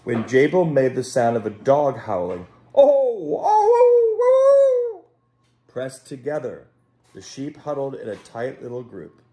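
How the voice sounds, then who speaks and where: A man reading a children's story aloud in an expressive, dramatic way, pausing to speak directly to young listeners. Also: medium pitch. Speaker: Sunday school storyteller. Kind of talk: animated children's story read aloud with asides. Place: at home.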